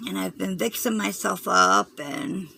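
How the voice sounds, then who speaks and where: A hoarse woman with a Southern US accent, rambling through a craft tutorial and often clearing her throat as she fights a cold. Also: medium pitch, a little slow, sharp tone, slight background noise, poor audio. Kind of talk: chatty loom tutorial.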